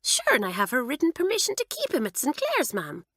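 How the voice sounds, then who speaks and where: A woman's voice: high-pitched, huge pitch swings, fairly quick, fairly bright tone, no echo, studio quality, voice pushed up, heavily acted. A woman narrator voicing a cheerful, cheeky Irish schoolgirl with a lilting Irish accent.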